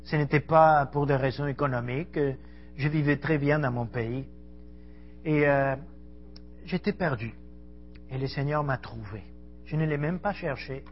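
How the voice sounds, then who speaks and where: Missionary preacher, middle-aged man, earnest and direct, a bit high-pitched; dull recording with a faint hum. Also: a little slow, long pauses. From Argentina. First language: Spanish. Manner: earnest, conversational, addresses the audience directly. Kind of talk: sermon to a congregation. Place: church hall.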